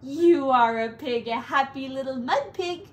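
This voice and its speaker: Woman putting on an excitable, high-pitched storybook mother. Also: a little slow. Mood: playful affection. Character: Missus Potter, delighted, tidy mother playing in mud.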